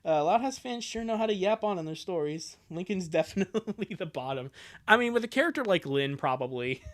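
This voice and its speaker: A man's voice: high voice, lively intonation, a little fast. A man doing a casual, rambling, jokey livestream with commentary on what he reads. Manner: jokey, rambling, chuckling asides. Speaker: live streamer.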